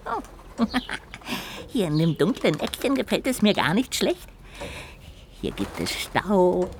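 An actress playing a small, childlike, chatty little ghost in a children's audio drama, exclaiming and musing to itself expressively. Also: female voice, mid-range voice, background noise. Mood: contented.

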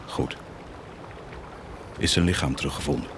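A man's voice: rumbling low, normal speed, background noise. An actor playing a cynical, blasphemous army commander who is proud of his wickedness, in a theatrical, declamatory radio drama style with defiant outbursts. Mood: cold, commanding. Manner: declamatory, defiant, swinging from muted menace to outbursts.

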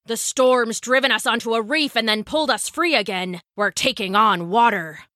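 A woman's voice: fairly high pitch, normal speed, sharp tone, no echo, clean studio sound. A woman voicing a commanding male ship captain, an uncle giving urgent orders in a storybook narration.

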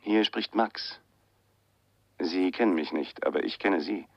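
A man's voice: low voice, measured pace, a little hiss or hum. An actor playing a mysterious, insistent man on the phone in a radio crime drama, cryptic and self-assured. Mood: cryptic, probing. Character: mysterious, insistent man phoning a writer, afraid for his life. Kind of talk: 1980 German radio crime drama acting. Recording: dull, lo-fi radio play sound.

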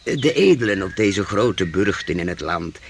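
Kindly older mentor, slow delivery, very expressive swoops, old-fashioned phrasing, radio play. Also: male voice, sits low, a little hiss or hum. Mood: explanatory. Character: kindly older Swedish mentor to two young friends. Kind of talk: radio drama acting.

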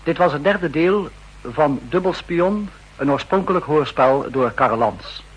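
A husky, fairly high male voice in a formal 1960s radio announcing style. He reads credits at a very slow, orderly pace. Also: lively intonation, poor audio.

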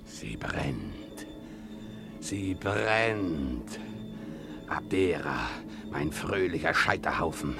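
An actor playing a savage, gleeful pirate captain in a radio play, gloating theatrically and relishing destruction. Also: male voice, extremely low pitch, lively intonation, very slow, gravelly, pauses often, music in the background, full character voice. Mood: dark gloating. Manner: theatrical, gloating, swinging from murmur to bellow. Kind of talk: German radio play acting.

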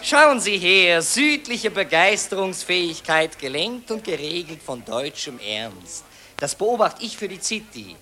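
Casting: a smug, declaiming newsman, high, lively and bright. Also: measured pace, full character voice. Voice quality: pompous, declamatory. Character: pompous male journalist, glib and self-satisfied. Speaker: newspaper reporter. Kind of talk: radio drama acting.

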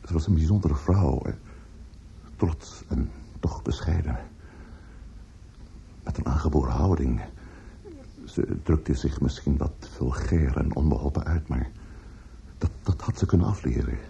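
An actor in a radio play playing a defensive, grieving older man, earnest and halting, often repeating words. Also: very deep voice, creaky, mellow, exaggerated voice. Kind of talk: Dutch radio play acting. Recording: dull, noisy old radio recording.

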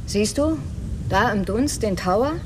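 Actress as pensive woman, quietly reproachful, naturalistic radio drama, mid pitch, faint background ambience. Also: animated, measured pace, noisy background, natural voice. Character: reflective, quietly reproachful woman discussing past events.

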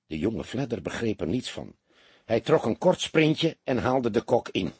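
A man narrating an audiobook in an expressive, scripted storytelling style. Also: mid-range voice, unhurried, husky, dry recording.

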